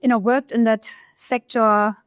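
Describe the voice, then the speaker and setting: A woman with a German accent, a research economist telling her career story in an interview, pausing often with fillers. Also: a little high, little pitch movement, no echo. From East Germany. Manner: thoughtful, pauses often with fillers.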